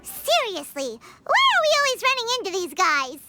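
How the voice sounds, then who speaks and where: A woman voicing a small, childlike, excitable fairy companion in a video game, squeaky and exclamatory, with music behind. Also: normal speed, dry recording, full character voice. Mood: exasperated. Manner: exclamatory, expressive.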